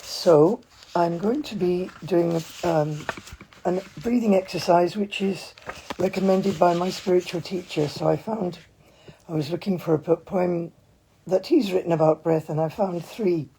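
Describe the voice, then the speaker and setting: A woman leading a casual mindfulness livestream from home, talking in a chatty, meandering, gently informal way, with a hoarse voice, recorded on a phone. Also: on the low side, measured pace, slight background noise, low-quality recording. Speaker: mindfulness teacher. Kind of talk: casual livestream with guided breathing. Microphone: phone, handheld at times.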